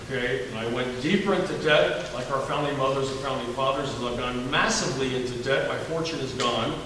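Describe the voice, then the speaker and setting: A man's voice: medium pitch, a little fast, noisy background. A man with a Southern US accent, a candidate for governor answering at a forum in an emphatic, folksy, repetitive way. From Alabama. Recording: echoey hall from far off.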